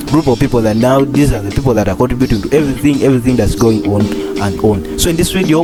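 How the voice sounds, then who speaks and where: A husky-voiced young man in his twenties, a doctor in training hosting a casual vlog, rambling and repeating phrases with many fillers. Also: mid-range voice. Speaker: doctor in training, channel host. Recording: clear music playing under the voice.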